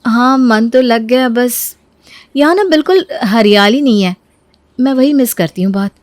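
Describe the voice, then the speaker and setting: Woman acting a high, eager young bride. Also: normal speed, no echo, close to an everyday voice. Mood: wistful. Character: cheerful, dutiful young bride, sweet and eager. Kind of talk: acted dialogue in a Hindi moral story.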